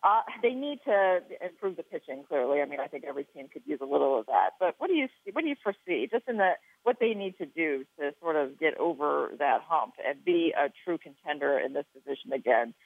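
A woman's voice: low-pitched, animated, brisk, vocal fry, few pauses, no room sound. Lady hosting a sports chat, dry and phone-like audio, conversational questions. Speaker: sports interview host. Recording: dry, narrow phone-like sound.

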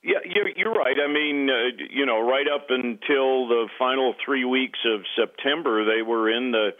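Guy doing sports radio analysis over a tinny phone connection, kinda halting, repeats words. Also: medium pitch. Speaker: radio play-by-play broadcaster, interview guest. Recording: tinny, narrow phone-like line.

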